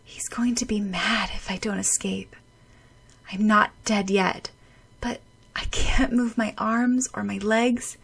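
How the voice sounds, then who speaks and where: A woman voicing a determined young girl heroine, often in breathy whispered inner thoughts. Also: fairly high pitch, slow, pauses often, sharp tone, voice pushed up, heavily acted. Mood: whispering, desperate.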